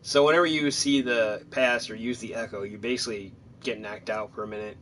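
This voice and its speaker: A man's voice: fairly low pitch, steady tone, brisk, a bit bright, slight background noise. A man giving casual, rambling commentary on his own video game playthrough, thinking aloud as he goes. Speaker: gamer narrating own playthrough.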